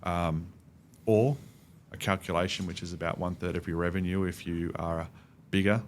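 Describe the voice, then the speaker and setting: Deep, even-toned Australian man, rumbly background. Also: moderate pace, slight background noise. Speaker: podcast guest, cybersecurity expert. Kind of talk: conversational interview answers.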